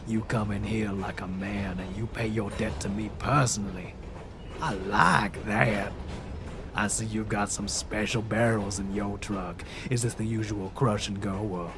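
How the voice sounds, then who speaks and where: A man voicing a tough, menacing rat crime boss with deliberate mobster talk in a cartoonish audio drama. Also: lowish voice, fairly even intonation, background noise. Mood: grudgingly impressed.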